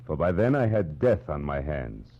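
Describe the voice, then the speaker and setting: Hardboiled male detective, very low voice, sardonic deadpan, radio noir, dull old recording with music behind. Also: moderate pace, vocal fry, exaggerated voice. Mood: brooding, wry. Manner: deadpan, world-weary, sardonic. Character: weary, wry, hardboiled male private detective. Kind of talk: 1940s radio noir acting and first-person narration.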